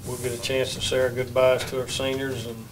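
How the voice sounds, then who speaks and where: An older man, a baseball head coach, answering questions at a postgame press conference in a plain, measured way at a table microphone. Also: mid-range voice, completely flat delivery, slight background noise, rough recording. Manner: measured, plain-spoken, many restarts. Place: press room. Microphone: table mic.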